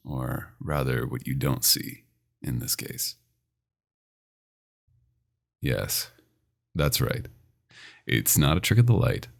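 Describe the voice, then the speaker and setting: A man playing a dominant, possessive vampire doctor in an ASMR roleplay, intimate and teasing with a smooth menace. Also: animated, takes it slowly, long pauses, heavily acted. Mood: teasing, boastful. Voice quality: very deep, gravelly.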